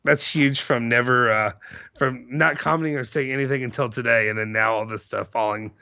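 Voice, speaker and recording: A man in his thirties with a hoarse, gravelly voice, casually hosting a football fan live show and answering viewer comments. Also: mid-range voice, fairly even intonation, a little fast, pushed and bright, no echo, highs cut off. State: voice more hoarse than normal.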